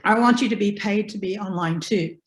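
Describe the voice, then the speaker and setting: Woman, hoarse, folksy instructor, video-call mic, echoey room. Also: medium pitch, fairly quick. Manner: folksy, encouraging, asks the class questions. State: hoarse from bronchitis. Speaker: online business instructor. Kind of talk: live video-call class. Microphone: computer mic on a video call.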